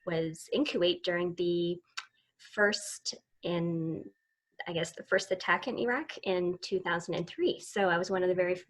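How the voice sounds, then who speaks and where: A woman's voice: lowish voice, normal speed. A woman, telling her story as an interview guest in a rambling, casual way. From Eastern Canada. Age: 40s. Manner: rambling, many restarts and "so"s. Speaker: guest, summit founder.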